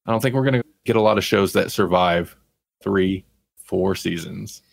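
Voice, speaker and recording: A man's voice: low voice, normal speed, creaky. A man hosting a casual podcast about television, talking in a conversational way with rambling explanations. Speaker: podcast host. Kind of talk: casual TV review chat.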